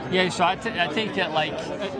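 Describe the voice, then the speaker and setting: A man's voice: high-pitched, steady tone, normal speed, over a noisy crowd. A man hosting a hobby podcast in a casual, informal, chatty way. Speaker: podcast host and interviewer.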